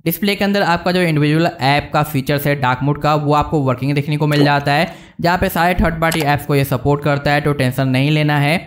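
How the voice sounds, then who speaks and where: Higher-pitched Indian man explaining casually. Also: steady tone, fast, a little hiss or hum. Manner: informal explaining, addressing viewers directly. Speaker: tech reviewer and channel host. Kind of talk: casual screen-walkthrough narration of a phone software review.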